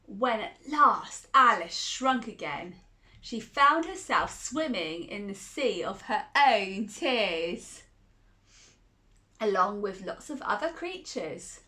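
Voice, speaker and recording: A woman's voice: mid-range voice, sing-song, takes it slowly, bright, forceful tone. A woman reading a picture book aloud to children in a cozy, playful way, with chatty asides and laughs between the lines.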